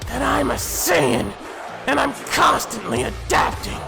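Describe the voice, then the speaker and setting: Gravelly high-pitched cocky warrior villainess. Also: female voice, animated, a little slow, music in the background, a crowd in the background, exaggerated voice. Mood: taunting, boastful. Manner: taunting, brash, foul-mouthed. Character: cocky, taunting female Saiyan warrior villain. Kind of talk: anime fan drama acting.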